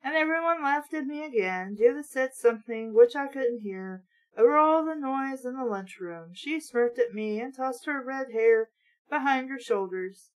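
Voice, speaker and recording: A woman's voice: high-pitched, lively intonation, moderate pace, rough recording. A woman reading aloud casually with blunt, sweary, sarcastic running commentary in a ranting manner.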